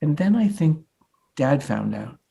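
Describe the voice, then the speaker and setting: A man playing an earnest, flustered sixteen-year-old playwright son in a staged reading, defensive and mock-modest, with a husky voice. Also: on the high side, very animated, measured pace, dark tone, heavily acted. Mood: nervous, mock-modest. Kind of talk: live staged play reading.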